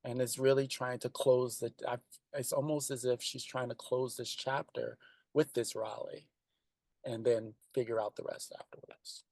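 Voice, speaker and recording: A man with a creaky, medium-pitched voice talks through his writing. He answers conversationally, thoughtful and halting, and the sound is dry with little room in it. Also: little pitch movement, darkish tone, no echo. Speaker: screenwriter answering questions.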